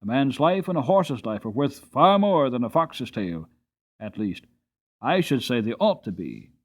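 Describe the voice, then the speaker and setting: A man doing a soft-spoken old hostler. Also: mid-range voice, big pitch swings, measured pace. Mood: musing. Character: kindly old male stable hostler, folksy.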